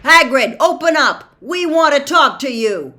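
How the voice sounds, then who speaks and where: A woman's voice: mid-range voice, animated, takes it slowly, background noise. A woman voicing a determined, caring and cheeky teenage boy with a firm, direct manner in a storybook reading. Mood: demanding, defiant.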